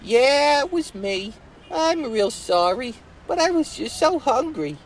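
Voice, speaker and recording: A man voicing a shy, poor young woman who sells newspapers, in a high falsetto with street slang. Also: very animated, slow, a little hiss or hum, full character voice. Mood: sheepish.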